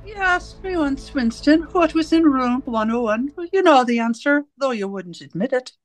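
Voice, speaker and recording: Woman acting a cold, high-voiced female torturer. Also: expressive, faint music behind. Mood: menacing calm. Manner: deliberate, domineering, taunting. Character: cold, menacing female interrogator and torturer of a totalitarian regime. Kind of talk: radio drama acting.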